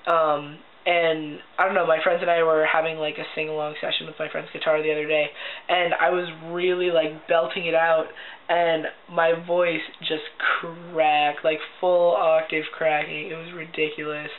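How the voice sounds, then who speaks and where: A man's voice: fairly high pitch, flat delivery, normal speed. A young man with a cracking voice, talking casually and upbeat in a video diary, full of ums and likes. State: voice cracking often. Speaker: vlogger. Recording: tinny lo-fi audio with faint hiss.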